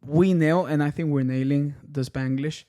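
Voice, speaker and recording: A man's voice: fairly high pitch, fairly even intonation, normal speed, mellow. A man in his twenties from Ecuador with a Miami accent, talking emphatically and swearing freely in a casual podcast chat. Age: 20s. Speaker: band member.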